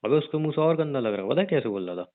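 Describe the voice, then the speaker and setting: A man playing a rough young gangster husband in an audio drama, crude and teasing yet protective, talking in slang. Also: mid-range voice, expressive, racing, lightly acted. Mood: exasperated. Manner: slangy, crude, mild swearing. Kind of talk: audio roleplay drama, conversational. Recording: narrow phone-like dry sound.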